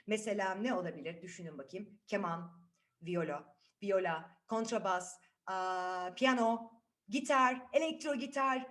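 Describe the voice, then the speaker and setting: A woman's voice: medium pitch, measured pace, a bit bright. A woman storyteller narrating a fairy tale to children, putting questions to listeners and repeating phrases for suspense.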